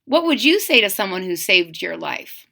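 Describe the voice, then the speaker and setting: A woman reading a picture book aloud in a theatrical, drawn-out way, coaxing young listeners with questions and explanations. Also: mid-range voice, creaky. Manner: animated, theatrical, drawn-out emphasis; coaxing, explaining asides to children. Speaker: children's story reader and channel host.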